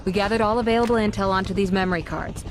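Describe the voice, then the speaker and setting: A woman playing a brisk, no-nonsense American intelligence agent in a video game cutscene. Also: mid-range voice, noisy background, close to an everyday voice. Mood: urgent, alarmed.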